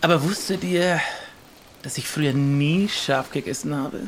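A man playing a talkative, skittish pirate in an improvised audio adventure play, excitable and prone to repeating himself. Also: on the high side, measured pace, slight background noise, natural voice. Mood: chatty, inviting. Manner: talkative, excitable, hesitant repetitions. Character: chatty, skittish pirate ship driver and chili lover.

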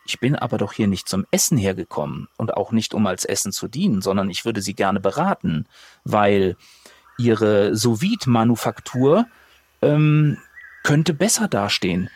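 A man's voice: lowish voice, animated, normal speed, a little mellow, breathy edge, dry recording. A man playing a crafty, persuasive old craftsman in a tabletop game.

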